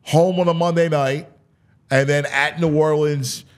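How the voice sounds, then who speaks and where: Male sports host, slightly raised pitch, conversational and emphatic, casual talk-show delivery. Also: fairly even intonation, a little slow, smooth.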